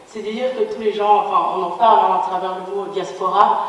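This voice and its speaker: A woman's voice: medium pitch, steady tone, a little fast, lo-fi sound. A woman from Belgium, giving an earnest, faltering speech at a conference, often restarting her phrases. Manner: earnest, searching, many restarts and fillers. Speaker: conference participant. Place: large parliament hall. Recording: reverberant hall, distant mic, faint room noise.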